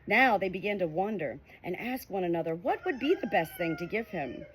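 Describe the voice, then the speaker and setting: A woman reading a children's audiobook aloud in an expressive, informal way. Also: fairly low pitch, fairly quick, a little hiss or hum, poor audio. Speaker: audiobook reader. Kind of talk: children's storybook narration.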